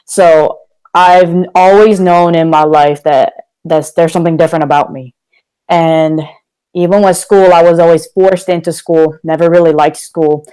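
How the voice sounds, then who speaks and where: A man's voice: on the high side, steady tone, moderate pace, a little mellow, clear, resonant tone, rough recording. A young man from Korea, a guest talking candidly and energetically in a casual podcast interview. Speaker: guest, motivational speaker.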